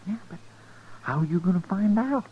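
A man's voice: sits high, expressive, moderate pace. A man playing an anxious, deferential deputy sidekick in a 1950s radio western. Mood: worried. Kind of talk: 1950s radio drama acting. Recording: muffled, noisy 1950s radio recording.

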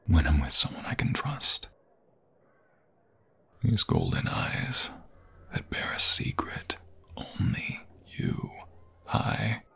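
A man playing an anxious, tender young vampire fiancé in an intimate ASMR roleplay, halting and emotional, often dropping into a breathy whisper. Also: low-pitched, a bit bright, exaggerated voice. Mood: tender, earnest.

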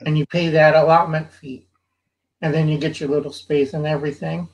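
Middle-aged guy on a headset, losing his voice, somewhat high, chatty. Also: little pitch movement, brisk, gravelly, low-quality recording. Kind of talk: casual video call chat. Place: indoors, home. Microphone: headset on video call.

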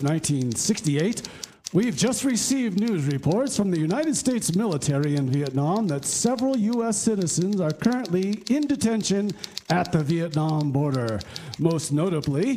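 A man's voice: high voice, mellow, a little hiss or hum. A man playing a solemn 1960s evening news anchor, reading a scripted parody bulletin.

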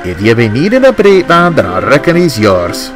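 A man's voice: mid-range voice, big pitch swings, moderate pace, music in the background, lightly acted. A man voicing a blustering, forthright Scottish railway engine in a children's storybook. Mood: amused. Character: indignant Scottish male twin tank engines.

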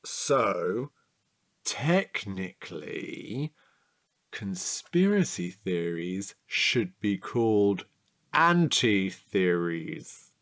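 A man playing a slow, swooping, childlike chatterbox. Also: on the low side, full character voice. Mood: curious. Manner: playful, excitable, drawn-out delivery. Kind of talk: comedic audio drama acting.

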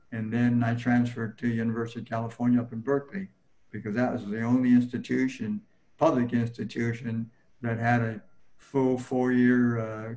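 An older man remembering his life as a guest on a video call, talking haltingly with many fillers and restarts. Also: mid-range voice, mellow, rough recording. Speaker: panel guest. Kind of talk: personal recollection in an interview. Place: home room, indoors.

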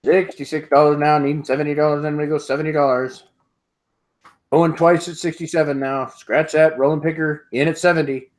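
A middle-aged man hosting an online auction, calling bids in a steady repetitive rhythm and laughing often, recorded through an earbud headset on a video call at home. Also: a little high, fairly quick. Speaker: auctioneer host. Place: home room with sports memorabilia.

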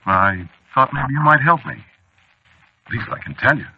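An actor playing an anxious, haunted man confiding his obsession haltingly in a 1940s radio drama. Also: medium pitch, expressive, breathy edge. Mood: uneasy confiding. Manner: hesitant, rambling, halting confiding delivery. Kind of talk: 1940s radio drama acting, confessional narration. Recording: dull, noisy old radio recording.

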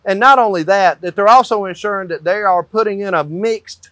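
A man's voice: sits high, brisk, bright, forceful tone, dry recording, clean studio sound. A man in his fifties giving a hands-on explanation as a builder, making his points emphatically, step by step. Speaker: company owner explaining construction. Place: indoors in a metal-walled barn or shop.

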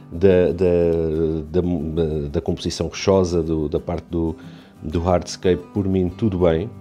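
A man's voice: low-pitched, dark tone. A Portuguese man with a European Portuguese accent, chatting casually and rambling a little as he walks through an update of his home aquarium. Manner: chatty, rambling, many restarts and fillers. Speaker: aquarium hobby youtuber. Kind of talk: casual walkthrough narration.

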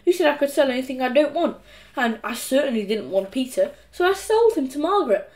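A woman's voice: sits high, lively intonation, fairly quick, a little mellow. A woman voicing a scheming, greedy young boy in a storybook, with a brazen, grumbling manner. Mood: defiant, grumbling.

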